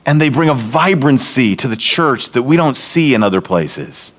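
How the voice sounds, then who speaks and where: A man's voice: medium pitch, very animated, moderate pace, a bit bright. A man giving a storytelling sermon at a church event, building to emphatic points. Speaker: seminary leader speaking at church event. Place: church meeting hall.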